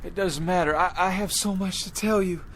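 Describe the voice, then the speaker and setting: Bewildered frontier settler man, highish voice, stammery and desperate, radio drama, noisy road sound behind. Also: steady tone, a bit bright. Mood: shaken, earnest. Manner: halting, stammering, old-fashioned plain speech. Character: desperate, bewildered 1840s pioneer father and husband. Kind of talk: vintage-style radio drama acting.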